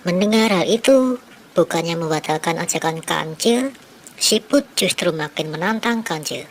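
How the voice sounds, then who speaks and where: A woman narrating a children's story in a gentle, clear storybook manner. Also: on the low side, expressive, moderate pace, slight background noise.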